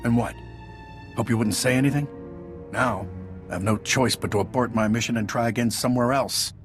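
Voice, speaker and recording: An actor playing a cynical, scheming intelligence agent in a video game, condescending and sardonic. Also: male voice, medium pitch, steady tone, fairly quick, lightly acted. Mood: bitter, cold. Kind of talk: video game dialogue acting. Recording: music clearly behind the voice.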